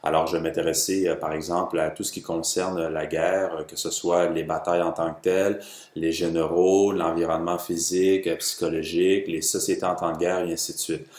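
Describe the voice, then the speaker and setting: A man in his thirties with a Quebec French accent gives a measured, formal history lecture, breaking it often with hesitant filler sounds. His voice sits very low. The room around him is echoey. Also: level tone, darkish tone. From Quebec, Canada. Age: 30s. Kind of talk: educational history lecture video.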